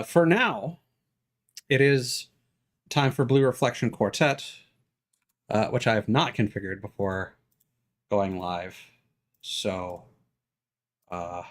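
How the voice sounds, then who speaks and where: A man chatting casually on a live game stream in a halting, polite, slightly formal way. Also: lowish voice.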